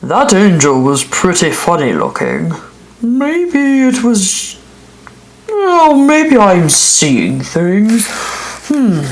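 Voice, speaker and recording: A woman voicing a puzzled male army captain in a children's storybook, musing in a drawn-out, wondering way. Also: mid-range voice, wildly swooping pitch, exaggerated voice.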